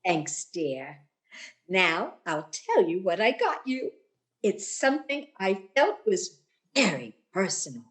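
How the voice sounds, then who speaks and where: A woman's voice: medium pitch, sing-song, slow pace. A woman playing a kindly, motherly older lady in an old-time radio sitcom style.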